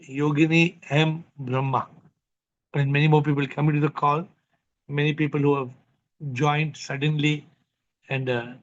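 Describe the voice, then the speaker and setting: Male host, slightly high, tired voice, lively and chatty, leading a group video call; muffled call audio. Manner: lively, greets many participants by name. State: tired voice after long speaking. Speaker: host of online spiritual session.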